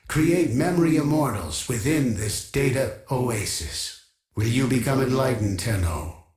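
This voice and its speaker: An actor playing a pompous, obsessive machine intelligence archivist in a video game, speaking in a measured, solemn way with synthetic reverb on the voice. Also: male voice, mid-range voice. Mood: solemn, grandiose. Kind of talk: scripted video game character dialogue. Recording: long echoing reverb.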